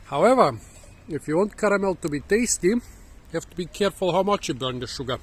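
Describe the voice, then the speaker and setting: A hoarse man, musing and halting as he thinks aloud while hosting a casual fruit-tasting vlog outdoors in a garden, recorded on a phone. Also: sits high, lively intonation. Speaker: fruit channel host. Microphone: phone camera.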